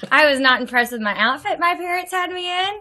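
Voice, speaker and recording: Young woman singer chatting w/ an interviewer, bright clear tone, lots of ums. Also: high voice, lively intonation, a little fast. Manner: hesitant, many ums, giggly. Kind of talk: casual interview chat.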